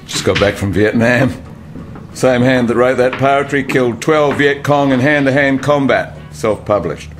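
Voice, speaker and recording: An actor playing a laid-back, cryptic young hippie who drawls in slang, with music behind him. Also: male voice, mid-range voice, measured pace, close to an everyday voice. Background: music score.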